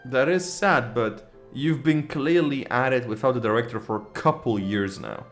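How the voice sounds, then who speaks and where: A man's voice: mid-range voice, normal speed. A man streaming a game playthrough with casual, reactive, informal and sweary commentary. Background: game music.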